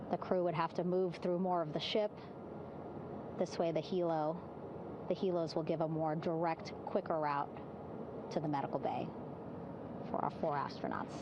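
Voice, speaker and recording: A woman's voice: lowish voice, lo-fi sound. A woman giving live event commentary from aboard a ship at sea. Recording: loud vehicle-like ambient noise.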